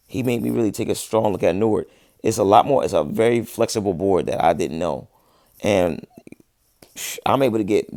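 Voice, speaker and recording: A man's voice: lowish voice, gravelly, mellow, no echo, clean studio sound. A man in his thirties chatting casually on a livestream, answering viewers with laid-back slang. He says he is losing his voice, and his talk is full of restarts. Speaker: livestream host, musician.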